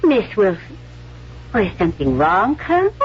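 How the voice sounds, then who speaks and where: An actress playing a proud, poised stage performer accused of spying, theatrical and dignified, in a 1970s radio drama. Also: female voice, mid-range voice, huge pitch swings, normal speed, breathy edge, full character voice. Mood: defiant, indignant. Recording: dull vintage radio recording.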